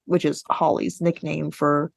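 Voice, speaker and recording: A woman's voice: low voice, flat delivery, measured pace, gravelly, no echo, studio quality. A woman co-hosting a podcast, talking casually and bluntly about books with strong opinions. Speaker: podcast co-host. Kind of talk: casual book discussion.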